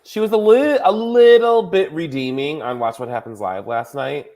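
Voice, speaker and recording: A man's voice: fairly high pitch, normal speed, mellow. A man in his thirties hosting a podcast, chatting casually and chattily with plenty of filler words. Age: 30s. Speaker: podcast host. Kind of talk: casual chat.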